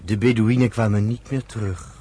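A man playing a reflective storyteller, narrating a radio drama in a measured way. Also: lowish voice, fairly even intonation, mellow, husky. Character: reflective male storyteller recounting pioneer history to a listener.

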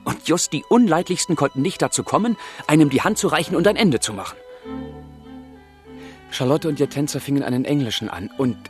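An actor playing an ardent, romantic young man who narrates his letters in a lyrical, expressive way in a radio play. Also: fairly high pitch, fairly quick, faint music behind, lightly acted. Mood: rapturous.

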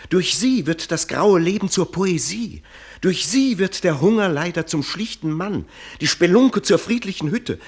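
Pompous, husky-voiced princely tutor. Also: male voice, on the high side, heavily acted. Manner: lofty, declamatory, pompous. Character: grandiloquent male tutor, mentor to a prince. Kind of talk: German radio play acting.

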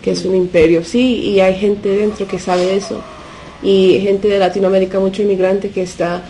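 A woman from the United States, a native Spanish speaker, talking unscripted as a radio interview guest. She speaks haltingly, searching for words and slipping in English fillers. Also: medium pitch, flat delivery, warm tone, a little hiss or hum, rough recording. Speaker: interview guest from a punk collective.

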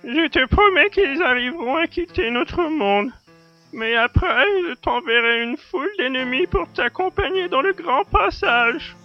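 Guy putting on a really high-pitched grave alien warrior, solemn, kinda lo-fi sound. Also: expressive, unhurried, soft background music, exaggerated voice. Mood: solemn vow. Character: grave alien native ally, male.

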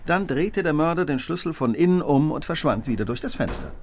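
An actor playing a brilliant, coolly analytical detective in a German radio play, speaking precisely and with authority. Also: male voice, a little high, expressive, brisk, slight background noise.

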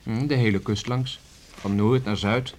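An actor playing a reticent young seafarer in a Dutch radio drama, measured and hesitant yet quietly insistent. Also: male voice, mid-range voice, fairly even intonation, a little hiss or hum, close to an everyday voice. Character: young seafarer, reticent, stubborn, guarded family envoy.

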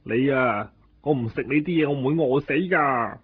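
A man with a Hong Kong Cantonese accent voicing a defiant, indignant stray dog in a radio drama, with a husky voice. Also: mid-range voice, moderate pace. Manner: indignant, stammering when threatened. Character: defiant stray male dog talking back to his keeper. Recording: dull, lo-fi 1990s radio recording.

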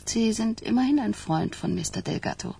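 An actress playing a friendly, self-deprecating young girlfriend in a radio crime drama, candid, with a raspy, creaky voice. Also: on the high side, lively intonation, moderate pace, dark tone, heavily acted. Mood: wry. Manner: candid, unpretentious.

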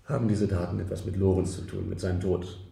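A man's voice: deep voice, little pitch movement, quick pace, creaky, dark tone, echoey room. An actor playing a tired young policeman taking a statement, dry and businesslike, in a radio drama. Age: young adult.

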